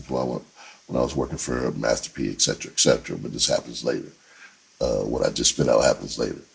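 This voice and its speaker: A man's voice: extremely low pitch, vocal fry, a little mellow, breathy edge. A middle-aged man telling a story casually, in a rambling, loose, slangy way. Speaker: entertainer and filmmaker telling his own story.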